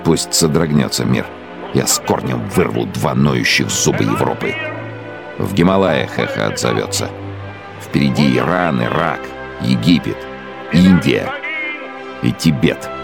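An actor playing a brooding, arrogant, fanatical middle-aged dictator in a radio drama monologue, speaking in pompous, scornful, emphatic phrases. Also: male voice, a bass voice, animated, unhurried, gravelly, heavily acted. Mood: grandiose, menacing. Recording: loud music behind the voice. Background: music.

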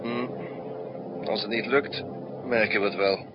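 An actor playing a gruff, unflappable middle-aged pilot in a 1960s Dutch radio drama, talking in dry, laconic grunts and short phrases. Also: male voice, on the low side, fairly even intonation, a little slow, close to an everyday voice. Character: gruff, unflappable veteran male airline pilot. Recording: muffled 1960s radio recording, noisy background.

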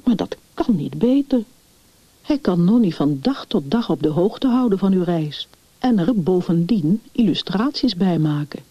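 A woman reading the part of a grave, authoritative male family doctor in a story, explaining things deliberately. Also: fairly low pitch, animated, breathy edge, no room sound. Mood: surprised, keen. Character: grave, assured male family doctor giving firm advice. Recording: dull, muffled lo-fi recording.

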